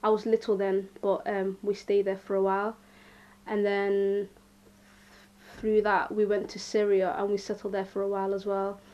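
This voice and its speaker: A young woman from Somalia casually recounting her past, rambling and relaxed with many fillers. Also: mid-range voice, moderate pace. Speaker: interviewee. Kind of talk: casual personal account. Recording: dull lo-fi recording.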